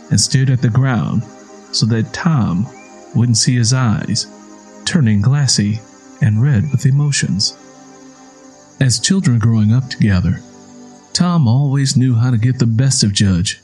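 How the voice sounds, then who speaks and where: A man's voice: medium pitch, a little mellow. A man reading scripted dramatic narration as the storyteller of an audio drama.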